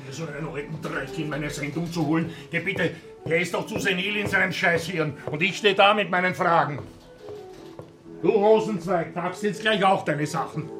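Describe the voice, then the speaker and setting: Guy acting as a slick austrian show host, high voice, slow theatrical patter, music behind him. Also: lightly acted. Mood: spiteful. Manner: gushing, theatrical presenter patter. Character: slick, jovial Austrian TV host, nasty underneath. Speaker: actor. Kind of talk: radio drama acting as a show presenter.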